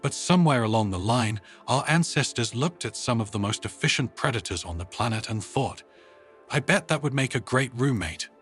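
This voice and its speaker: A man's voice: mid-range voice, animated, normal speed, fairly bright tone, soft background music, natural voice. A man voicing a wry, good-humoured woman space commander, explaining casually in a narrated sci-fi story. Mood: amused.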